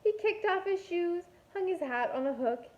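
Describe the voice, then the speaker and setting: Female kindergarten teacher for picture-book read-aloud: high voice, expressive storytelling, slightly dull home recording. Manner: expressive, deliberate storytelling with playful asides.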